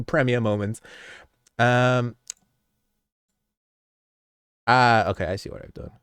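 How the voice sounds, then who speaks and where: A man's voice: mid-range voice, expressive, measured pace, a bit bright, clean tone, no echo, clean studio sound. A man with a stuffy, slightly sick voice, rambling through a casual behind-the-scenes talk about his video edits, often stopping himself mid-sentence and chuckling.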